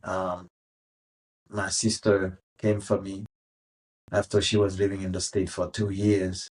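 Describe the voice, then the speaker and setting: A man in his fifties with a Haitian accent and a fairly low voice. He talks as a podcast guest, unhurried and relaxed, pausing often as he recalls his upbringing. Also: low-quality recording. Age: 50s. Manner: relaxed, unhurried storytelling with many pauses. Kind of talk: casual interview storytelling.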